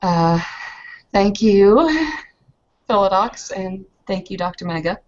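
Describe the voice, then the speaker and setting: A woman's voice: mid-range voice, fairly even intonation, takes it slowly, darkish tone, highs cut off, close to an everyday voice. A woman playing a jovial, cocky, sweary young female werewolf brawler in a casual, cheeky way.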